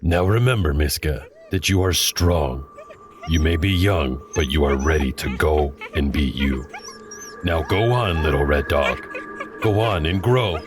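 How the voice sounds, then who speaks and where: Man as a wise old teacher, deep voice, slow and patient, music behind. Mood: gentle.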